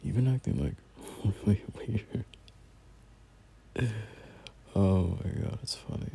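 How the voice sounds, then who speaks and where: A young man playing a teasing, bashful male friend in an intimate, casual romance audio roleplay, soft-spoken and halting. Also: low-pitched, vocal fry, breathy edge, full character voice. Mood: playful teasing. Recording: noticeable background noise.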